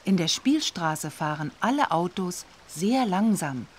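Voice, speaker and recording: Slow, animated children's game guide. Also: female voice, lowish voice. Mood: approving. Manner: emphatic, instructive. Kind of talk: children's educational game voice-over.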